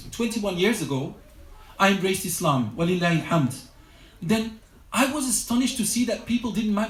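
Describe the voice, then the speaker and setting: A man giving a religious lecture to a study circle, earnest and exhortative, often restarting his sentences. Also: high-pitched. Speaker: religious teacher. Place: lecture room. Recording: roomy echo.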